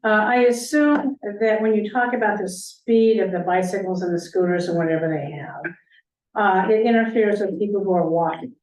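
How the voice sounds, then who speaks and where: A woman's voice: medium pitch, moderate pace, darkish tone. A woman chairing a public board meeting, asking staff pointed, leading questions. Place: council meeting room. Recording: roomy, distant meeting-room mic.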